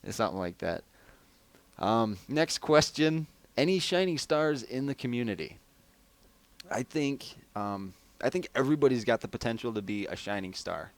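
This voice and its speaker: A man with a low, raspy baritone voice hosting a podcast, chatting loosely as he answers listener questions over a headset mic. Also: expressive, moderate pace, no echo. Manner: loose, rambling delivery. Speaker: podcast host.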